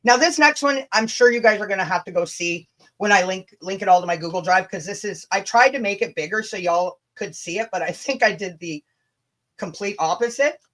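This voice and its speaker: A woman's voice: medium pitch, fairly quick, fairly bright tone. Blunt, sweary woman livestreamer. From Canada. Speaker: livestream host. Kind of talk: livestream chat mixed with dramatic reading of emails.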